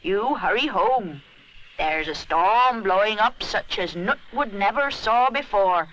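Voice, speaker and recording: A woman's voice: mid-range voice, animated, takes it slowly, fairly bright tone, dull audio. A woman voicing a very old, wise, kindly granny in a children's story, folksy and knowing.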